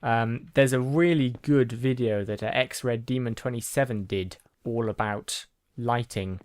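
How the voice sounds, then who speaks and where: A man's voice: medium pitch, unhurried, darkish tone, no echo. Chatty man narrating his gameplay. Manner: chatty, rambling, with frequent ums and restarts. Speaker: gaming channel host. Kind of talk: casual gameplay commentary.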